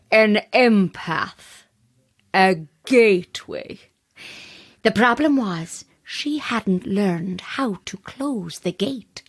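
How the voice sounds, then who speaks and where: A woman playing an intense, theatrical medium in an audio drama, speaking deliberately and ominously in a husky voice. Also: medium pitch, heavily acted. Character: intense, theatrical female spirit medium and empath.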